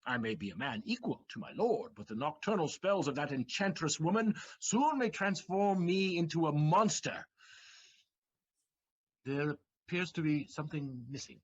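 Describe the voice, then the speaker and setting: A man's voice: on the high side, lively intonation, moderate pace, husky, lo-fi sound. Figaro, a man playing a wry scheming valet. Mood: bitter. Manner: wry, theatrical declaiming with sudden laughs. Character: clever scheming young male valet Figaro. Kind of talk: community theatre audio play.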